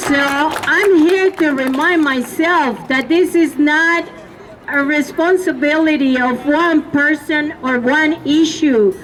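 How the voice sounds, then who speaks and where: A high-voiced woman from El Salvador gives a slow, earnest speech to a rally crowd. She pauses often and calls out to the listeners. She is recorded from a distance, with the crowd faintly audible around her. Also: fairly bright tone. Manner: impassioned, halting, calls out to the crowd. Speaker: rally speaker. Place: outdoor rally. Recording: distant mic with crowd noise.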